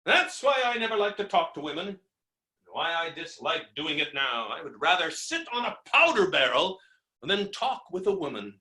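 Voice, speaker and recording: A man's voice: a little high, sing-song, normal speed, sharp tone. A man playing a blustering, hot-tempered creditor in a theatrical stage comedy reading, ranting with exasperated, melodramatic exclamations. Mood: raging. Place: indoor room, recorded remotely. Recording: roomy, echoing virtual staged-reading recording.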